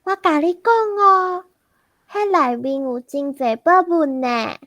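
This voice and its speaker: A woman's voice: high-pitched, expressive, slow, pushed and bright, heavily acted. A woman playing a playful little girl full of wonder in a children's radio drama, childlike and exclamatory, with a Taiwanese accent. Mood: excited, curious.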